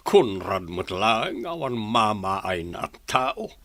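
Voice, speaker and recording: A man's voice: lowish voice, big pitch swings, slow, fairly bright tone, exaggerated voice. A man playing a stingy, unyielding village employer in an Ilocano radio drama, with a Filipino accent. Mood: scornful, dismissive.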